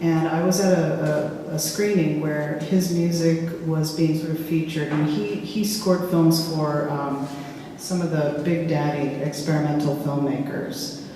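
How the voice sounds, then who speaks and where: A woman filmmaker speaking casually in a public discussion, halting and searching for words with frequent restarts. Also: sits low, steady tone, warm tone. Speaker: filmmaker in a post-screening discussion. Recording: echoey hall from far off, faint hiss.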